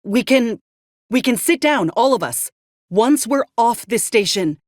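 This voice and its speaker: Game companion: tough female ex-medic soldier, US drawl, dry sarcasm, animated pitch, close dry sound. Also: unhurried, no echo. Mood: pleading, tense. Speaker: companion character. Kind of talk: video game voice acting.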